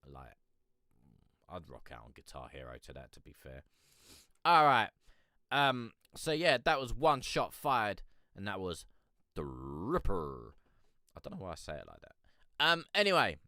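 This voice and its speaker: A man's voice: low voice, unhurried, long pauses, dry recording, studio quality. A man hosting a music review stream, talking casually and rambling with congestion from a cold. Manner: rambling, excitable, slangy, trailing off. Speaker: music review show host. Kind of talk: casual live-stream commentary.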